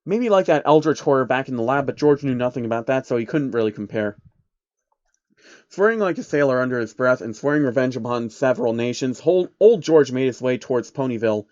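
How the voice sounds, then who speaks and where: A man's voice: medium pitch, animated, a little fast, darkish tone, no room sound. A man narrates a comic story in a casual, irreverent way, dropping in sweary asides. The sound is close and dry, slightly dull and lo-fi. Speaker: narrator of online fan fiction.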